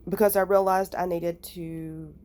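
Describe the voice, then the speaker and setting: A woman with a raspy, nasal, hoarse voice, talking through her crafting clean-out in an unhurried, thinking-aloud vlog. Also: on the low side, fairly even intonation, mellow. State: recovering from illness, hoarse. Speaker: crafting vlogger. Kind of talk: casual vlog talk and voiceover.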